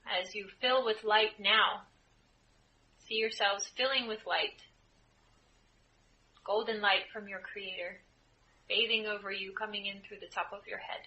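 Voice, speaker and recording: A woman leading a guided group meditation as a spiritual channeler, speaking in measured, deliberate phrases with frequent pauses. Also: medium pitch, steady tone, bright, forceful tone, dull audio. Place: indoor room, live group setting. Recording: live group room, clear background noise.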